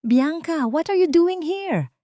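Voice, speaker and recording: Audio story male lead, read by a woman: rich, regretful, determined lover, soft dark tone. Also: very high voice, expressive, slow pace, no room sound, clean studio sound, pitched above the performer's own voice, full character voice. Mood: earnest. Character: remorseful, determined rich man in love.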